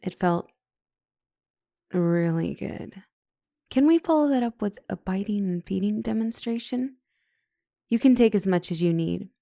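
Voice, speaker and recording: Woman acting as slow, mellow, smitten lady doctor. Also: mid-range voice, expressive, vocal fry, no echo. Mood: dazed, pleased. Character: female doctor, couples counselor, flustered and smitten. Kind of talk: ASMR roleplay audio drama.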